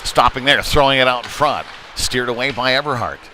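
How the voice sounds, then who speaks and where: A man's voice: mid-range voice, a little fast, sharp tone. A man giving live play-by-play commentary of a youth ice hockey game. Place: indoor ice rink. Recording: noisy rink ambience, bouncing knocks.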